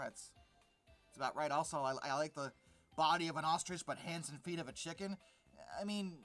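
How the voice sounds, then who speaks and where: A YouTube host giving sardonic, exasperated reaction commentary while reading an article aloud, with halting, emphatic repetition. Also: mid-range voice, fairly bright tone.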